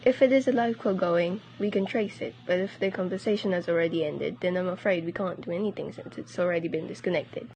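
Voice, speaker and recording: A woman's voice: lowish voice, a little fast, hardly any pauses, a little mellow, a little hiss or hum, close to an everyday voice, poor audio. A teenage girl playing a composed, polite chief telephone operator in an amateur radio drama. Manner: polite, matter-of-fact.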